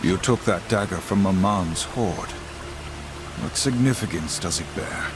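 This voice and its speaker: A man's voice: deep voice, a little slow. A man playing a stern, dutiful horseman warrior in a video game, speaking in a solemn, formal, declamatory manner. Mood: grave. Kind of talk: game cutscene dialogue. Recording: music behind the voice.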